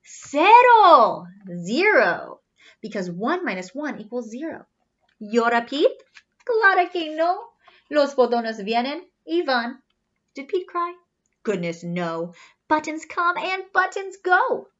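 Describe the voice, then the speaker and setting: A woman reading a picture book aloud to young children in an animated, teacherly way, with singsong refrains. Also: high-pitched, takes it slowly. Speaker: read-aloud storyteller for children. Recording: slightly dull, lo-fi sound.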